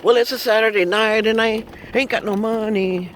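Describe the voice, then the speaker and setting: A man in his late fifties rambles along from a car seat, cracking jokes and breaking into little hummed tunes. His voice is bright and forward, pitched a touch high for a man, with a faint hiss under it. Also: steady tone, moderate pace. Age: 50s. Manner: joking, chuckling, humming silly tunes. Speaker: vlogger. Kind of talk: rambling casual chat.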